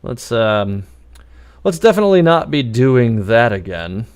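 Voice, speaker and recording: A man's voice: medium pitch, sing-song, a little slow, a little mellow, smooth. A man streaming a video game, thinking aloud in easygoing, casual live commentary.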